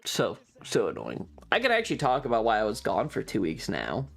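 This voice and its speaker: A man's voice: lowish voice, huge pitch swings, fairly quick, creaky, fairly bright tone. A man chatting casually as a streamer, telling stories in an exasperated, rambling, sweary rant. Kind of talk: casual stream chat, ranting storytelling.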